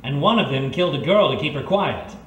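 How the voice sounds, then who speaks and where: An earnest 1930s gumshoe, played by a male actor, polite and hesitant, with a mid-pitched voice that moves up and down a lot. The radio drama acting sounds roomy and slightly far from the mic. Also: lively intonation, brisk, lightly acted. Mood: probing, earnest. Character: earnest, awkward 1930s private eye, a reformed thief. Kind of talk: old-time radio detective drama acting. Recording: roomy, distant recording with faint noise.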